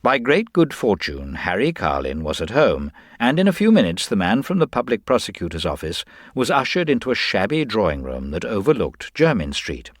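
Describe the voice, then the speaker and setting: A man narrating a scripted story in a wry, measured way. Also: fairly low pitch, big pitch swings, no room sound, studio quality.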